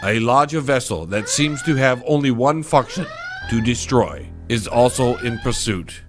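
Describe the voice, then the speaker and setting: A man's voice: lively intonation, measured pace, lightly acted. A man playing a resolute starship captain in a sci-fi audio drama, authoritative and clipped in his delivery. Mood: grave log. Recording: loud music and engine rumble behind.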